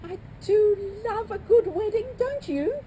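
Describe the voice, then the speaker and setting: A woman's voice: very high-pitched, measured pace, warm tone, slight background noise. A woman playing a sentimental wedding guest who gushes and weeps about weddings. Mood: gushing. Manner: gushing, teary chatter.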